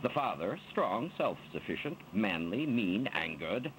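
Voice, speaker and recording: A man giving an expressive, dramatic poetry recitation in a theatrical manner, drawing out his words. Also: on the low side, a little slow, vocal fry, a little hiss or hum, low-quality recording. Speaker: reader of poetry.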